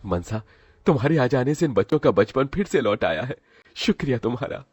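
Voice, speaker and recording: A man playing a gentle, earnest family man in a moral story, soft and sincere. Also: mid-range voice, very animated, fairly quick, breathy edge, no room sound. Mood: grateful, tender. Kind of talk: dramatised moral story voice acting.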